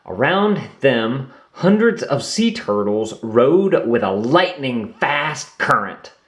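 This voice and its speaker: A man reading a children's storybook aloud in an expressive storytelling manner. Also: medium pitch, slow pace. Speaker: storybook reader. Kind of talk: children's read-aloud narration. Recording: roomy echo.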